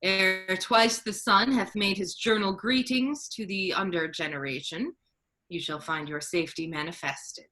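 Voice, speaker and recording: Woman playing a commanding friar, bright forceful voice. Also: medium pitch, a little slow. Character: scheming duke disguised as a holy friar, male, authoritative. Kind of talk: staged reading of Shakespearean verse.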